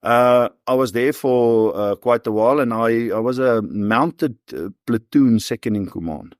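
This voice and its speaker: A man's voice: mid-range voice, a little slow, dry recording, clean studio sound. A man in his forties with a South African accent, telling stories in an easygoing, conversational way as an interview guest. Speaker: professional hunter and former soldier, interview guest.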